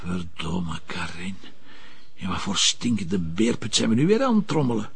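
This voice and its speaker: Weary policeman, Flemish accent, gravelly, very husky, halting, crime series. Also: deep voice, expressive, full character voice. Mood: gruff, probing. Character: world-weary, awkward male police detective. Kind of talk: naturalistic television crime drama acting.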